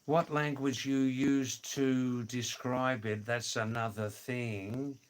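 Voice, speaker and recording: An older man talks very slowly on his own livestream, reading viewer comments aloud. He drags out phrases and repeats words. His voice sits at a middling pitch, with chuckles now and then. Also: flat delivery. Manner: slow, drawn-out, repeats words, chuckles "hoo hoo hoo". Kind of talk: casual live chat. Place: home in the Himalayas.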